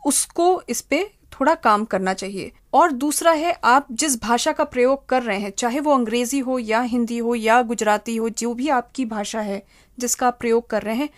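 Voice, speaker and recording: A woman's voice: fairly high pitch, moderate pace. A woman giving methodical, step-by-step advice as an interviewed expert. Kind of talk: interview answers, explanatory advice.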